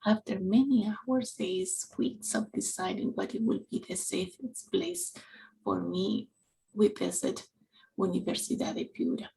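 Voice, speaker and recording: A woman's voice: medium pitch, creaky, poor audio. A woman in her forties from Peru with a Peruvian Spanish accent, speaking English as a second language while giving an earnest personal reflection for a church novena. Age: 40s. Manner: slow, earnest and halting, with soft whispered stretches. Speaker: parish council member giving a reflection.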